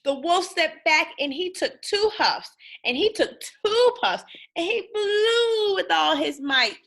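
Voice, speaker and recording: Very animated woman reading a children's story. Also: very high-pitched, gravelly. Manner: dramatic, pausing to ask listeners questions. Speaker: storybook reader. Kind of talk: animated children's read-aloud.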